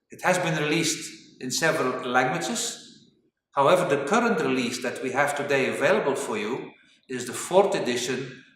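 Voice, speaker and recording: A middle-aged man with a Flemish Belgian accent delivers a corporate training introduction at an ordinary male pitch. He explains deliberately, leaning on the openings of his phrases. The room rings with heavy echo, and he sounds set back from the microphone. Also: a bit bright. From Belgium (Flanders). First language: Flemish Dutch. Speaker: webinar presenter, safety engineering trainer. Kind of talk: corporate webinar presentation. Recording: very echoey reverberant room, distant mic.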